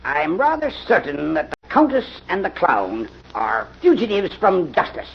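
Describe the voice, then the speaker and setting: An actor playing a stern older professor with a husky, gravelly voice, blunt and insistent, in a 1940s radio mystery. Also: male voice, high voice, wildly swooping pitch, measured pace, very noisy, exaggerated voice. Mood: probing. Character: stern older professor, suspicious academic investigator. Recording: dull vintage radio recording.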